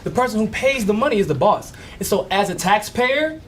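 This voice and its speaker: A man's voice: sits high, very animated, fairly quick. A blunt, confrontational man speaking angrily during public comment at an election board meeting, repeating himself as he pushes back. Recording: loud crowd and noise around.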